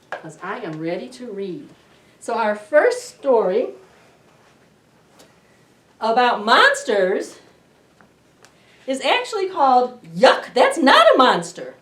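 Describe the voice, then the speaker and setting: A middle-aged woman hosting a children's storytime, reading aloud in an expressive, deliberate way to young listeners. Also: a little high. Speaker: library storytime host. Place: indoor library children's room. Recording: roomy echo, distant camera microphone.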